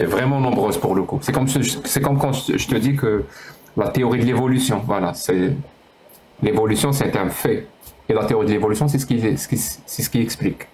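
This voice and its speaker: A man hosting a live call-in debate stream, teasing callers and cutting in quickly. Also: mid-range voice, a little mellow. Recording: roomy with faint noise.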